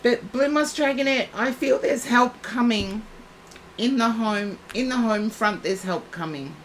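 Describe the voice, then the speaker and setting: Slow, husky-voiced woman psychic streamer. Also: on the high side, a little hiss or hum, rough recording. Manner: soothing, reassuring, unhurried. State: losing her voice. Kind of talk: live-stream psychic reading.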